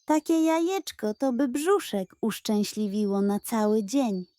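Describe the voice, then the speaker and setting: A woman's voice: a little high, measured pace, dark tone, no room sound, lightly acted. A woman voicing a lazy, greedy, sly male pug in a children's storybook, drawling and mumbling, sugary when he schemes. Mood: dreamy, greedy.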